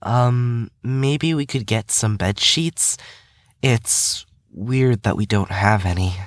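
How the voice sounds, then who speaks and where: A man's voice: mid-range voice, slow, dry recording, close to an everyday voice. A man playing a meek, awkward, apologetic psychiatric patient in an audio drama, speaking hesitantly. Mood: sheepish.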